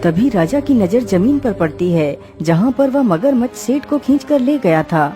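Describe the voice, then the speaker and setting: A woman's voice: fairly high pitch, animated, normal speed, mellow. A woman reading scripted storybook narration for a cartoon. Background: clear background music.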